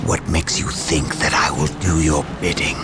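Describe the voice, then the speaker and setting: A man's voice: steady tone, moderate pace. A man playing a proud, bitter fallen warrior turned traitor in a video game, with theatrical delivery. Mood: indignant. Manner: theatrical, scornful, swinging between low sneers and high outbursts. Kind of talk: dramatic video game cutscene acting. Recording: music behind the voice.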